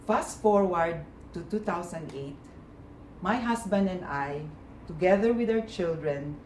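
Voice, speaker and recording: A woman from the Philippines tells a personal story in a calm, reminiscing way. Her voice sits fairly low, and the recording has a roomy echo with faint background noise. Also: unhurried. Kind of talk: personal story told to a group.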